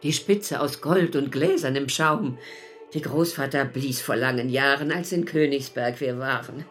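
An actress playing a nostalgic older relative who recites rhymed verse in a radio play. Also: female voice, rumbling low, a little slow.

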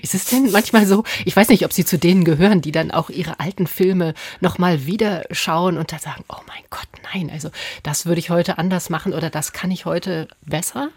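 A woman's voice: on the low side, a little fast, few pauses, darkish tone, slightly rough, no echo. Female radio host in a dry studio. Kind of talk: conversational cultural radio interview.

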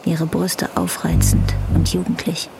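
A woman's voice: on the low side, little pitch movement, measured pace, gravelly, rough recording. A woman reading scripted literary narration as the narrator of a radio drama. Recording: faint music behind.

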